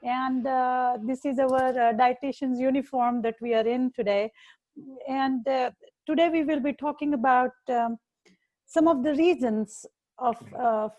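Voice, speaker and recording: High-voiced Indian-accented woman host. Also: unhurried, a little mellow, rough recording. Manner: hesitant, many fillers. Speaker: host, dietitian. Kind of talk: interview chat.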